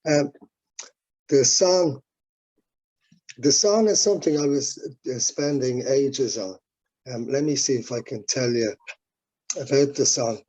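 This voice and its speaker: A man's voice: medium pitch, measured pace, pauses often, darkish tone, low-quality recording. A man with an Irish accent, a native Irish speaker, chatting as a musician guest in an interview, rambling and reflective with many restarts. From Ireland. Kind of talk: casual interview talk.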